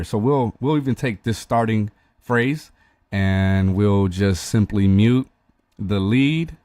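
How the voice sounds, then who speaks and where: A man hosting a music-mixing tutorial, walking through the steps in a relaxed, explanatory way with frequent restarts. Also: fairly low pitch, dry recording. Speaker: host demonstrating music mixing. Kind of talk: casual tutorial walkthrough.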